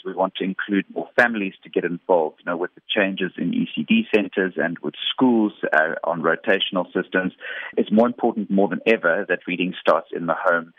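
South African male interviewee, low-pitched, earnest explainer, dry tinny phone-quality sound. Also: no room sound. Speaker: interviewee, reading campaign spokesperson. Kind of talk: conversational radio interview. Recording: narrow-band, tinny phone-like line.

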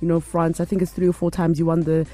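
Chatty woman interviewer, warm dark tone. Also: on the low side, flat delivery, fast. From family from Uganda. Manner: chatty, many fillers and restarts. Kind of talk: casual interview.

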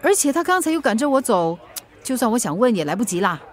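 A forgetful, easily flustered mother in a radio drama. Her voice sits very high and swings widely in pitch, with a Singaporean accent and colloquial, defensive protests. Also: sing-song, fast. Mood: evasive, puzzled. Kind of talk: naturalistic radio drama dialogue.

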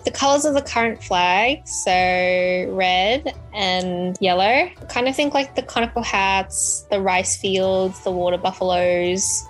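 A woman from Vietnam answering interview questions slowly and hesitantly. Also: medium pitch, faint music behind, low-quality recording.

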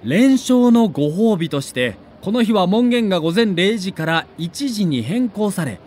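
A man narrating a scripted documentary in a dramatic, measured storytelling manner. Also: high-pitched, sing-song, darkish tone, a little hiss or hum.

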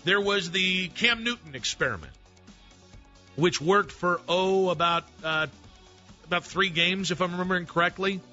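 A man with a very bright, sharp tone argues a sports point on talk radio, laying out records and stats calmly. The broadcast sound is a bit dull, with faint music underneath. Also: high-pitched. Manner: measured, stat-citing argument.

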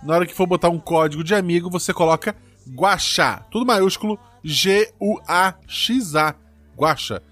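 A man hosting a tabletop game podcast as game master, narrating in a relaxed, joking way. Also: high-pitched, moderate pace, soft background music.

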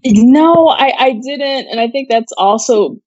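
A woman's voice: high-pitched, lively intonation, unhurried, no echo, muffled recording. A woman gives casual, loosely phrased opinions as co-host of an entertainment news show. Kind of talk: casual chat giving reactions and opinions.

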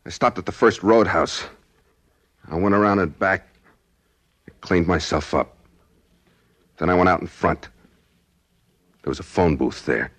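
A man's voice: low-pitched, measured pace, long pauses, fairly bright tone. An actor playing a desperate, scheming man on the run in a 1940s radio drama, speaking in terse, hard-boiled phrases. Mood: cool, calculating. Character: desperate, guilt-dazed male fugitive, hard-boiled and scheming. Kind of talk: 1940s radio drama acting, first-person noir narration. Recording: noisy old 1940s radio recording.

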